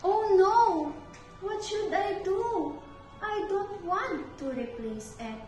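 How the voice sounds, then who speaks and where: Woman acting a high-pitched, pleading little girl. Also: animated, very slow, darkish tone, exaggerated voice. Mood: upset. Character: cheerful young girl, sad and pleading over her treasured bracelet. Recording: echoey room, distant mic, music behind.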